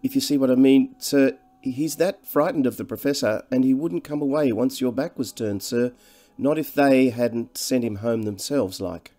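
An anxious, humble country mother from a storybook, played by a male reader. The pitch is lifted well up and the tone is soft-edged. The speech is deferential and hesitant, fretting in small repeated phrases. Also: moderate pace, few pauses, close to an everyday voice. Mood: humble, pleading. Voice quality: monotonous. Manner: deferential, fretful, hesitant, repetitive. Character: anxious, humble working-class English countrywoman, fretful mother.

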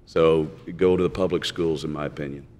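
Very deep-voiced panelist man. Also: fairly even intonation, brisk, darkish tone. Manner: direct, opinionated. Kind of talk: panel discussion. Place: conference hall stage panel. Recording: reverberant conference hall.

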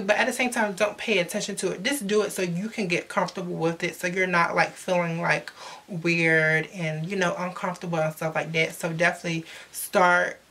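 A woman's voice: fairly low pitch, a bit bright. Sassy, chatty young woman vlogger. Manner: chatty, sassy, slangy, addresses viewers as sis. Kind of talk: casual advice vlog. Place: indoors in front of a glittery backdrop. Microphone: camera at close range.

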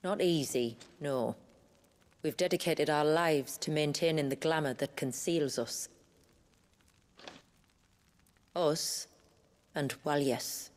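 A woman playing a proud, weary village elder in a video game, with a measured, solemn manner. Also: low voice, darkish tone. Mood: quietly proud. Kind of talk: video game cutscene acting. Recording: music playing behind the voice.

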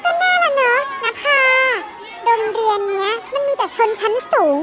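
A woman's voice: extremely high pitch, expressive, moderate pace, a loud crowd. A woman voicing a haughty rich teenage schoolgirl who mocks scholarship students, in a fan-made cartoon. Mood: sneering. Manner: sneering, mocking. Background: background music.

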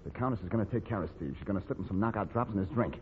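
An actor playing an urgent, hard-talking criminal accomplice in 1940s radio acting style. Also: male voice, fairly low pitch, rapid-fire, natural voice. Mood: urgent, conspiratorial. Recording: tinny old radio, reverberant, faint noise.

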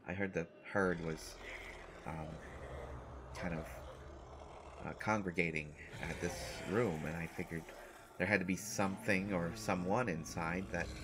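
A man's voice: low voice, background noise. A man playing a shy, nervous survivor, speaking with constant stammers and restarts in a rambling, self-deprecating way. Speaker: audio roleplay performer. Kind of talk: scripted audio roleplay monologue.

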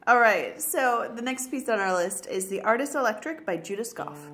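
A woman's voice: fairly high pitch, big pitch swings, moderate pace, fairly bright tone, soft background music. A woman co-hosting a podcast in casual, teasing banter, mixed with announcements. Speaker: podcast co-host.

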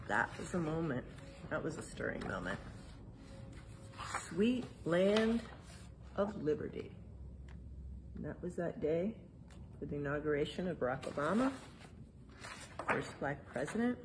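A middle-aged woman reading a picture book aloud to children in a museum hall, spelling out each page title and then explaining it gently. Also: fairly low pitch, noisy background. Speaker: museum storytime reader. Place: indoor museum exhibit hall.

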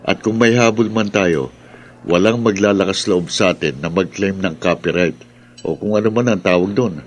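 A man's voice: low voice, moderate pace. An actor with a Filipino accent playing a young man, a grumbling buddy who works as an online creator, in a radio drama. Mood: aggrieved. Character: aggrieved male buddy, a struggling online content creator.

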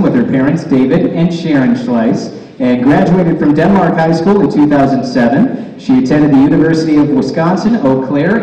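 A man's voice: a little high, mellow, lo-fi sound. A man as master of ceremonies, reading polished prepared introductions at a lectern mic. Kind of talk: formal stage introductions. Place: large hall, speaking at a lectern. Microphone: lectern mic, far camera. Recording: echoey hall from far off.

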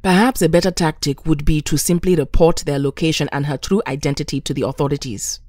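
A woman's voice: deep voice, fairly even intonation, fairly quick, a little mellow, no echo, clean studio sound. A woman playing a principled, authoritative superheroine in an audio drama, formal and firm. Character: principled, authoritative female mutant team leader.

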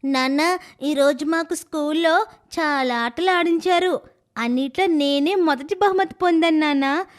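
A man voicing a timid, eager little schoolgirl in a storybook tale, in a childlike, high, drawn-out voice. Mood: proud.

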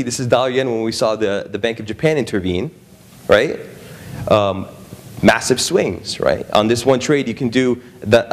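A young man presenting a trading seminar to a live audience, conversational, often checking in with the room. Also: medium pitch. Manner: conversational, frequent "right?" and "okay?" check-ins with the audience. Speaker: trading strategist presenting at an expo.

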